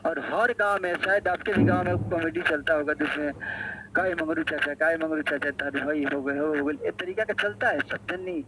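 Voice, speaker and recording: A man from Bihar with a Bihari accent, defending himself in a halting, repetitive way on a phone call. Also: fairly high pitch, lively intonation. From Arrah, Bihar, India. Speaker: singer being interviewed. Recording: noisy phone call recording.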